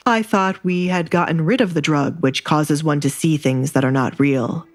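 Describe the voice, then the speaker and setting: A woman voicing a sardonic, menacing young duke in an audio drama, speaking formally and with measured, veiled threat. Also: deep voice, lightly acted. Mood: cool, mocking.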